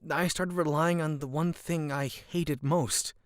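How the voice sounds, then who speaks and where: A man playing a shy, earnest, nerdy high school boy in a manga dub, narrating and speaking in dialogue. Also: steady tone, measured pace, dry recording, studio quality, close to an everyday voice. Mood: glum, reflective.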